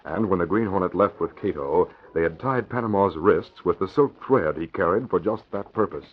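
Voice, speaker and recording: A man's voice: deep voice, lively intonation, moderate pace, slightly rough. A man narrating a scripted 1940s radio crime drama with dramatic storytelling delivery. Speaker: announcer-narrator. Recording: tinny, narrow old radio recording.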